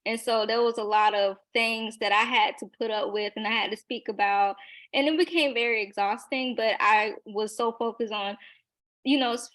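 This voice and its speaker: A young woman in her twenties talks on a webinar panel in an earnest, emphatic way, her voice sitting a little high. She leans on filler words and breaks into short laughs, and she calls her own voice loud. She comes through a video call. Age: young adult.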